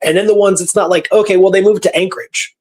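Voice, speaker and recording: A man's voice: high voice, steady tone, fast talker, a little mellow. A man hosting a radio show, telling a story in a casual, conversational way and repeating phrases for emphasis.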